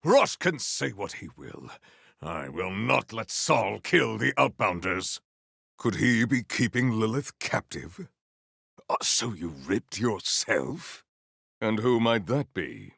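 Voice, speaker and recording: An earnest, noble warrior hero from a video game. His low voice is gravelly and very unhurried, with formal, stately diction and theatrical flourishes. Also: big pitch swings, fairly bright tone, dry recording, clean studio sound, full character voice. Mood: thoughtful, serious. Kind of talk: scripted video game character lines.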